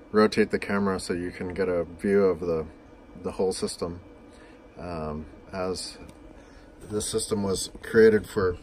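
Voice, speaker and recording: A man's voice: low-pitched, a little hiss or hum. A man giving an informal, unscripted technical demonstration in a matter-of-fact, explanatory way, recorded indoors on a camera microphone. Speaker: demonstrator of vintage telephone equipment. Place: indoors beside an equipment cabinet.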